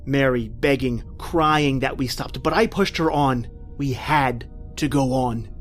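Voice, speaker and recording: An actor playing a terrified, desperate man recounting his flight from a monster, in intense old-time radio horror style with drawn-out, repeating phrases. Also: medium pitch, close to an everyday voice. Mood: haunted, anguished. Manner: intense, breathless repetition, drawn-out dramatic phrasing. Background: music.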